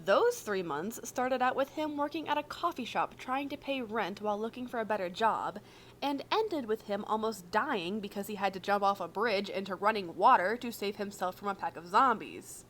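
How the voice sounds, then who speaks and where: A woman's voice: mid-range voice, a bit bright. Woman reading aloud, noisy amateur recording. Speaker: podfic reader. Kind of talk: expressive fan fiction narration.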